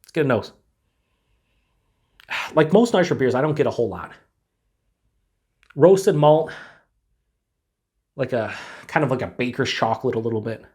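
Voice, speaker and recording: A man's voice: mid-range voice, expressive, moderate pace, pauses often, a little mellow. A man with a stuffed-up head cold giving a laid-back, rambling beer review to camera, full of fillers. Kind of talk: casual solo review to camera.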